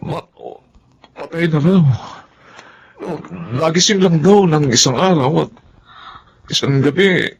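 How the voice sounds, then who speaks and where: An actor playing a solemn son breaking bad news in a Filipino radio-style drama, with a Filipino accent. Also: male voice, a little high, a little slow, pauses often. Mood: sombre. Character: son solemnly bringing his mother news of a death. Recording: dull sound, faint noise.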